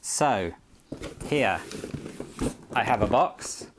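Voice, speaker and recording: A British man in his forties speaks in a fairly low voice. He talks casually to the camera, presenting an item like a hobbyist vlogger in a small room at home. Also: lively intonation, extremely slow, noisy background. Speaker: skateboard collector vlogger. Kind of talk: casual talk to camera, showing a product.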